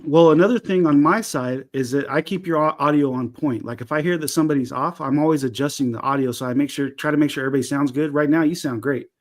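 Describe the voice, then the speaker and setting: A soft-spoken man from Canada hosting a casual online group chat, laughing easily and keeping things friendly. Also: a little high, quick pace, few pauses. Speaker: stream host.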